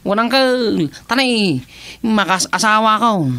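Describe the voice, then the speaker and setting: An actor playing an excitable, pleading young nephew eager to marry, in an Ilocano radio comedy drama, with an Ilocano accent. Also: male voice, high-pitched, slow, no room sound, full character voice.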